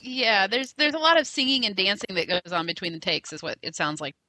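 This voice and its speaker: A woman's voice: medium pitch, fairly quick, bright, forceful tone, low-quality recording. A woman co-hosting a fan interview, chiming in and laughing. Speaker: co-host. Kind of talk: casual chat.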